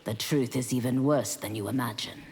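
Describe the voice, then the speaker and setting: A solemn demon seeking redemption in a fighting game. Her low voice speaks formally and earnestly. A long reverb trails each phrase. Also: moderate pace, darkish tone, slightly rough, heavily acted. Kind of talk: scripted fighting game dialogue.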